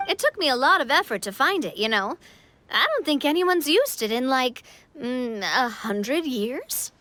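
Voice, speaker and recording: A woman's voice: high voice, animated, measured pace, bright, forceful tone, no echo, exaggerated voice. A woman voicing an upbeat, kindly, confident young storybook queen in a video game. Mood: breezy confidence. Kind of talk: English game voice acting.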